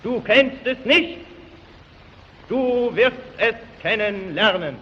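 A man's voice: high-pitched, lively intonation, slow. A man giving a theatrical cabaret performance of satirical verse, in a dull, reverberant recording. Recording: dull sound with long hall reverb.

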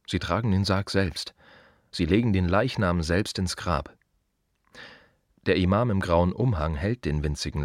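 An actor playing an aging, weary gravedigger who loves silence, musing in short, repeated fragments in a literary radio play monologue. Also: male voice, low voice, dry recording, clean studio sound. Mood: quiet, matter-of-fact.

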